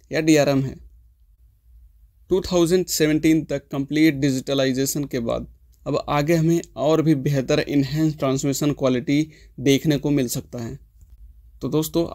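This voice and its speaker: A man delivers scripted explainer narration in a slightly high voice with a mellow, soft-edged tone. He keeps an informational manner throughout and drops English terms into his sentences. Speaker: host of a tech history explainer channel.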